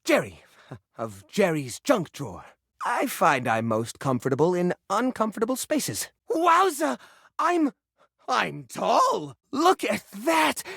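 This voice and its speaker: An actor voicing a fussy, anxious junk drawer come to life in a video game, theatrical and flustered, with old-fashioned folksy exclamations. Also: male voice, high-pitched, wildly swooping pitch, takes it slowly, gravelly, fairly bright tone, breathy edge, no room sound, studio quality, exaggerated voice. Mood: cheerful, proud. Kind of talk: video game character voice acting.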